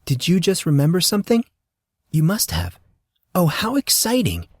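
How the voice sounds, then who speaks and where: A man's voice: fairly high pitch, moderate pace, no echo, clean studio sound, pitched above the performer's own voice. A man putting on a fussy, doting guardian woman. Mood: excited. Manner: fussy, doting, easily excited. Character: excitable fussing motherly woman guardian.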